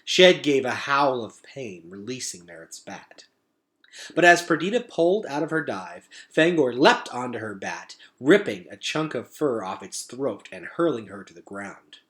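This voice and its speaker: A man's voice: medium pitch, sing-song, a little slow, a bit bright. A man reading a children's novel aloud as a storyteller, with dramatic, suspenseful delivery.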